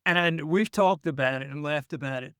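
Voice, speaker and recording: An older man, a former broadcast engineer and interview guest, telling anecdotes casually with wry pauses before his punchlines. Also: on the high side, bright, forceful tone, clean tone, no room sound, studio quality.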